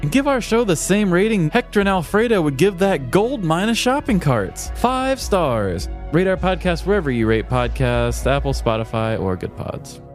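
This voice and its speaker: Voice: medium pitch, very animated, moderate pace, hardly any pauses, faint music behind. A podcast co-host in casual comedic chat, with a wry, conversational way of talking.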